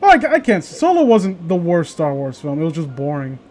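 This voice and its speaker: Guy with a kinda high voice just rambling in a casual chat, swears a bit. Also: wildly swooping pitch, a little fast, a little mellow, a little hiss or hum. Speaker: co-host of an online read-along chat.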